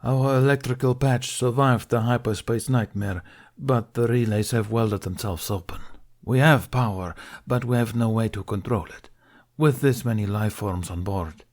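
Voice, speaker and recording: A man's voice: mid-range voice, moderate pace, darkish tone, pitched above the performer's own voice, lightly acted. A man voicing a tired, plain-spoken male military commander in a science fiction story, dutifully giving his report. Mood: grim, matter-of-fact. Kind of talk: acted audiobook dialogue in a science fiction story.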